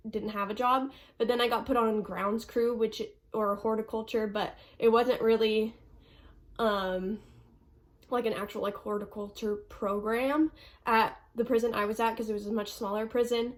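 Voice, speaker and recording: A young woman vlogger with a stuffy nose, answering viewer questions in a chatty way, full of ums and likes. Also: a little high. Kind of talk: casual Q&A vlog.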